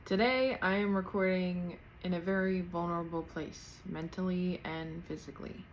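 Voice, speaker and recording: A woman's voice: lowish voice, fairly even intonation, moderate pace, slight background noise, rough recording. A thirty-year-old woman hosting her own podcast at home, talking candidly and loosely without a script, laughing lightly now and then, picked up by her camera's built-in mic. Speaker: podcast host. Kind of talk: unscripted casual personal talk. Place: at home, in pajamas. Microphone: camera's built-in mic, external mic unplugged.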